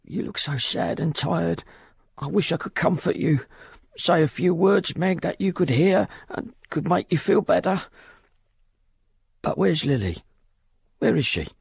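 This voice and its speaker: An actor playing an anxious, grieving father, husky and gravelly, telling what he sees in halting, repeated phrases in a radio drama. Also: fairly high pitch, lively intonation, no echo, clean studio sound, full character voice. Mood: sorrowful. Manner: halting, repetitive, hushed storytelling.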